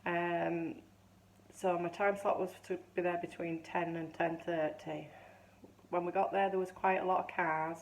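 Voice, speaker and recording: A woman in her fifties chatting casually to camera, rambling and restarting as she tells a story, her voice still a little hoarse. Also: fairly low pitch, little pitch movement, a little slow, slight background noise, poor audio. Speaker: vlogger.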